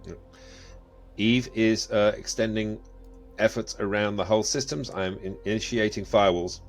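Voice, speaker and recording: A man's voice: lowish voice, fairly even intonation, moderate pace, faint music behind, close to an everyday voice. A man playing an obedient space station AI, giving terse procedural system reports in a science fiction drama. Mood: dutiful. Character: obedient male station AI running protective systems.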